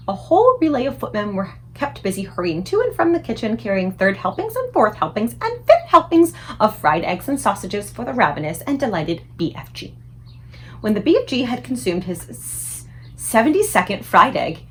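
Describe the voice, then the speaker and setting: A woman reading a storybook aloud as narrator, in an expressive style suited to children. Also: a little high, normal speed, a little hiss or hum. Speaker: book reader.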